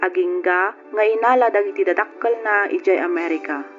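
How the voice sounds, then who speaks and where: An actress playing a regretful young woman who tells her life story in an earnest, measured way, with an Ilocano accent, in old radio drama style. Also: fairly low pitch, natural voice. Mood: wistful. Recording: tinny narrow-band radio, music behind.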